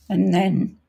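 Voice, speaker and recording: A woman with a croaky voice hosting a casual live craft demonstration, thinking aloud as she works and sometimes clearing her throat. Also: mid-range voice, dark tone, dull audio. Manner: unhurried, thinking aloud while working. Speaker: craft tutorial host.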